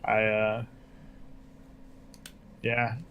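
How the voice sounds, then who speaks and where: A young man co-hosting a podcast in casual chat, soft-spoken and full of hedges like "I mean" and "like.". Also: lowish voice, completely flat delivery, very slow, smooth, phone-quality sound. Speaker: podcast co-host.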